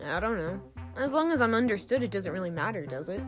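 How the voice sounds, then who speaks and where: A woman's voice: medium pitch, very animated, quick pace, over music, natural voice. A woman playing a blunt, mischievous space crewmate in an audio drama, teasing and flippant.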